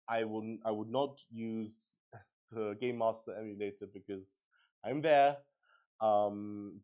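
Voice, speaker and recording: Slow-talking, halting male tabletop streamer. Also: mid-range voice, warm tone. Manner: halting, rambling, thinking aloud. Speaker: streamer hosting solo tabletop roleplay. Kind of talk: casual livestream explanation, chatting to viewers.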